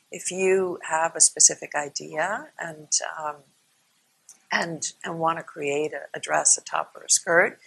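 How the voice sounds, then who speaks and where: A woman from Iceland speaking as a panelist in a live online discussion, explaining at a measured pace with frequent restarts. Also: low-pitched, gravelly, bright, forceful tone, husky, low-quality recording. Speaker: panelist, fashion entrepreneur. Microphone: video call.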